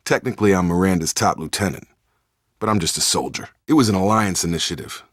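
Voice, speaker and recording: A man playing a steadfast, guarded space soldier in a video game, speaking in a measured, plain-spoken, earnest way. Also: low-pitched, no echo, clean studio sound. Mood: calm, serious. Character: steadfast young male space soldier, guarded, duty-minded. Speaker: squadmate in a science fiction game. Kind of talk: video game voice acting, naturalistic dramatic dialogue.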